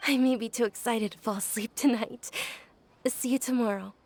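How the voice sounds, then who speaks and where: Gentle young noblewoman, high creaky voice. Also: fairly even intonation, normal speed. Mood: eager. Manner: polite, soft-spoken, considerate. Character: gentle, gracious young noblewoman, frail but eager. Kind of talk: anime game voice acting. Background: background music.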